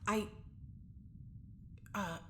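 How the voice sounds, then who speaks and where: A woman's voice: extremely slow, a little hiss or hum. A woman acting as a defiant, sullen prisoner and test subject in an audio drama, with a sarcastic, surly manner. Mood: surly, weary.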